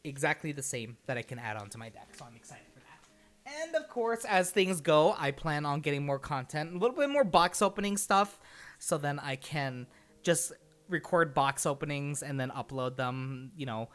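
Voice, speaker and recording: Casual streamer chatting to viewers, mid pitch voice, rambly and giggly, swears a bit. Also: lively intonation, normal speed, fairly bright tone. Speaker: livestream host. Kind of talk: casual livestream chat.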